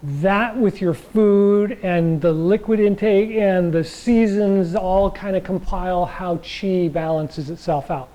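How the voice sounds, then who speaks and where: A man teaching a chi gung and tai chi class in a loose, rambling way, with a slightly raspy voice and frequent check-ins with his listeners. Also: sits high, slow pace, hardly any pauses, mellow. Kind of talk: casual class talk.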